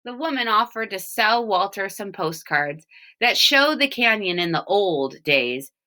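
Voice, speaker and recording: Woman, children's read-aloud, unhurried, very animated intonation, expressive emphasis. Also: mid-range voice. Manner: deliberate, expressive emphasis on key words. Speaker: storybook reader, teacher reading for home learning.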